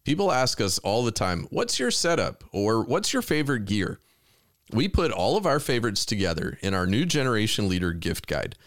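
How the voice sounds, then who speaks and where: Low-voiced American man hosting a podcast. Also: big pitch swings, normal speed, no room sound, studio quality. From Richmond, Virginia, United States. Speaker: podcast host. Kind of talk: podcast introduction and interviewing.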